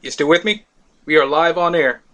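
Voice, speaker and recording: A man's voice: a little high, normal speed, a bit bright. A man from Miami hosting a casual talk radio show, rambling with many fillers.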